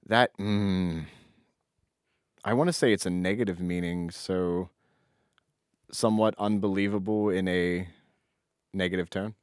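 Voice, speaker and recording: Male co-host, fairly low voice, casual conversational podcast delivery, dry close recording. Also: fairly even intonation, a little slow, pauses often, clean tone, dry recording.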